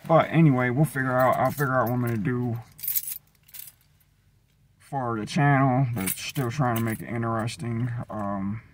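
A young adult American man chatting casually as a vlogger, rambling and trailing off as he thinks aloud into a handheld phone. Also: normal speed. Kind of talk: casual rambling vlog chat. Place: mostly inside a van, partly in an empty mall. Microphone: phone at arm's length. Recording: noisy handheld recording with vehicle rumble.

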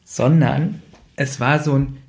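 A man's voice: medium pitch, slow, vocal fry, a little mellow. A male podcast co-host giving opinions in casual chat, emphatic and repeating phrases to stress them.

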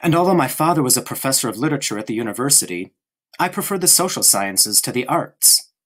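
A man's voice: medium pitch, animated, quick pace, fairly bright tone. A man doing an evasive young lieutenant. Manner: hesitant, evasive.

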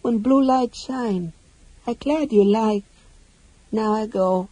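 A man's voice: sits high, slow, mellow, husky, no echo, voice pushed up, exaggerated voice, rough recording. A man voicing a small, simple-minded, devoted female creature in a fantasy audiobook, speaking in childlike broken grammar. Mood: sad, earnest.

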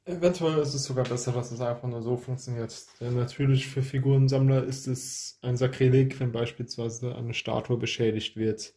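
A man's voice: mid-range voice, fairly even intonation, moderate pace, hardly any pauses, dark tone. A man hosting an unboxing, giving casual product review commentary.

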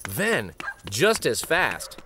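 A middle-aged man reads a picture book aloud as host, in an expressive, dramatic manner. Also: mid-range voice, extremely slow, very noisy. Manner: animated, dramatic. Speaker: children's storybook reader and show host.

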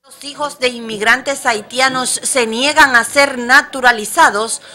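A woman's voice: high voice, steady tone, a little slow, sharp tone. A woman narrating a scripted news report as a reporter.

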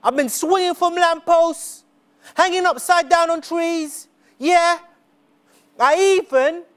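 A man on stage playing a lonely young boy who begs to be a sidekick, speaking earnestly in a theatrical spoken-word monologue. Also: heavily acted. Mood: eager. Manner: earnest, slow and deliberate, rising pitch on key words. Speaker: stage performer. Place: dark smoky theatre stage.

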